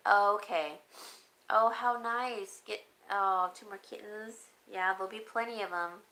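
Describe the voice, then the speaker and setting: Chatty raspy woman with a cough. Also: mid-range voice, steady tone, measured pace, pushed and bright, low-quality recording. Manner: rambling, chatty, reads and answers viewer comments. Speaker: home decor vlogger. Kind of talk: casual live-stream chat.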